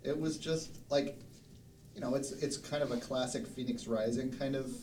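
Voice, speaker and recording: A man's voice: mid-range voice, normal speed, a little mellow. Man in a roomy, distant recording. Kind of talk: casual chat. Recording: roomy, distant mic, faint background noise.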